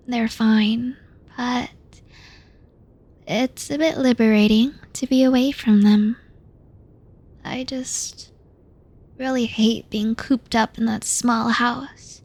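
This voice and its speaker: Woman acting as a downcast young woman, one-sided audio roleplay, half-whispered, slow-paced, dry close sound. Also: a little high, no echo. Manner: soft-spoken, often half-whispered, sighing. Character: gloomy young woman confiding in a close friend.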